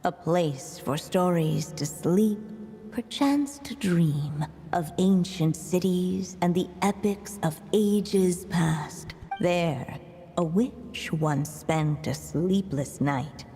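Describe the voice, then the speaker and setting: A woman voicing a mysterious female storyteller who recites a riddle slowly and solemnly. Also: fairly low pitch, dark tone, soft background music. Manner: slow, solemn, poetic recitation. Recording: long reverb.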